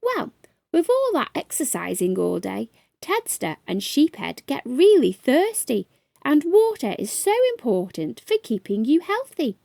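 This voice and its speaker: A woman voicing a kindly, reassuring father in a children's storybook, explaining things gently and patiently. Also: high voice, wildly swooping pitch, measured pace, vocal fry, no echo, full character voice. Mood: gentle, caring.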